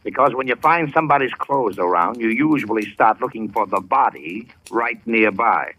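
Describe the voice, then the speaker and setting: Bright, forceful, wry police detective. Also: male voice, fairly low pitch, expressive, moderate pace. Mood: accusing. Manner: blunt, sardonic, folksy. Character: skeptical, wry, gruff male police detective. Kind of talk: 1940s radio drama acting. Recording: noisy old radio recording with clicks.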